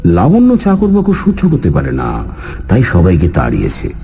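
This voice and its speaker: Low, very animated male doctor. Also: normal speed, creaky, heavily acted. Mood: confiding, concerned. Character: male family doctor confiding a patient's troubled household. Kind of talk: Bengali radio drama dialogue. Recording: muffled radio audio with faint music.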